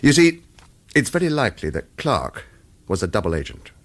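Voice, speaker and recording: Radio thriller lead: a stoic former government killer, deep and understated yet varied in pitch, world-weary. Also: male voice, wildly swooping pitch, moderate pace, dry recording. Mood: wry, resigned. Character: weary ex-government assassin on the run, guarded and stoic. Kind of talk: radio thriller acting with first-person narration.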